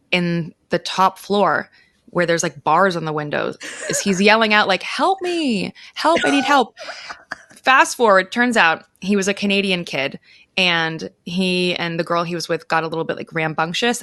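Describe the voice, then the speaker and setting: A woman's voice: lowish voice, fairly bright tone, no echo, clean studio sound. A woman speaking as a podcast guest, telling stories casually and emphatically and acting out what people said. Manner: emphatic, informal, acts out quoted speech. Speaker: podcast guest, travel writer. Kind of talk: animated casual storytelling.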